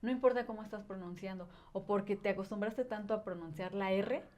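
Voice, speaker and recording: A woman's voice: mid-range voice, fairly quick, a little mellow. A woman, a language educator and podcast guest, explaining at length in casual conversation with frequent filler words.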